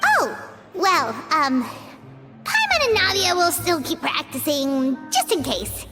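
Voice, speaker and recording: An actress voicing a small, excitable fairy-like girl companion who talks about herself in the third person. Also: very high voice, takes it slowly, over music, heavily acted. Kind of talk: video game voice acting.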